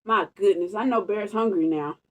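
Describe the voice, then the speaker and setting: A woman reading a picture book aloud as a storytime host, expressive and chatty with casual asides. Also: mid-range voice, normal speed, low-quality recording. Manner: animated, chatty, savouring phrases. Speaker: storytime reader.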